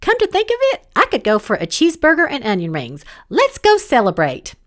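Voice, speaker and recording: A woman's voice: high voice, wildly swooping pitch, brisk. Woman playing a sassy, spirited young-hearted heavenly guide. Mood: playful, sassy.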